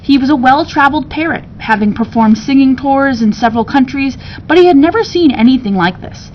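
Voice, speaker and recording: A woman reading a children's storybook aloud with expressive narration. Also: fairly quick. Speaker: story reader. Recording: dull sound with rumbling background noise.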